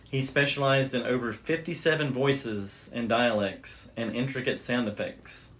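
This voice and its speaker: A man with a mid-pitched voice hosts a casual conversation. He explains his subject with enthusiasm, speaking informally as if to a friend across from him. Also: steady tone, measured pace, fairly bright tone. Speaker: podcast host. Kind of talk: casual chat about radio history.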